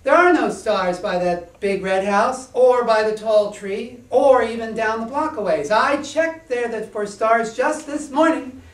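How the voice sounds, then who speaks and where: A man's voice: extremely high pitch, a little slow, pitched above the performer's own voice. A man voicing an innocent, earnest little girl in a simple, trusting manner. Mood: stubborn, certain. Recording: echoey room, distant microphone.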